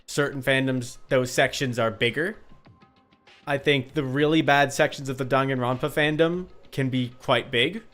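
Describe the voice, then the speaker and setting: A man's voice: mid-range voice, moderate pace, a bit bright. A man streaming a game and chatting with viewers in a rambling, informal, sweary way. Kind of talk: casual chat with viewers. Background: music.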